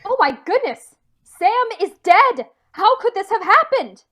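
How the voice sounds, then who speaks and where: A woman's voice: very high voice, very animated, a little slow, fairly bright tone. A woman announcer narrating a scripted radio mystery in a theatrical, playful way.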